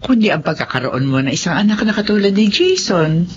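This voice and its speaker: A woman playing a caring, inquisitive friend who gently probes, in a radio drama. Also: lowish voice, big pitch swings, brisk. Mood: sympathetic, probing. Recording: muffled, faintly noisy radio recording.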